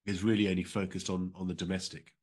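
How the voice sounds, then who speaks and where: British guy, middle aged, lowish voice, answering questions on a video call. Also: little pitch movement, fairly quick, darkish tone. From United Kingdom. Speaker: interview guest, former diplomat. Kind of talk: conversational interview answers. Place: indoor room on a video call.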